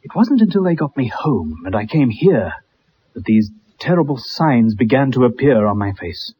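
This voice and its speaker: An actor playing a bitter, haunted young ex-soldier in a dramatic 1960s radio play. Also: male voice, medium pitch, very animated, normal speed, a little hiss or hum, lightly acted. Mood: resigned. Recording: muffled, dull old radio recording.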